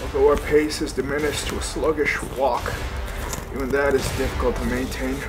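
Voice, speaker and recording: An actor playing a sardonic, anxious, foul-mouthed young male survivor who narrates in first person for an audio drama, often halting and stammering. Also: mid-range voice, flat delivery, reverberant, loud music behind the voice, natural voice. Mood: grim, weary narration.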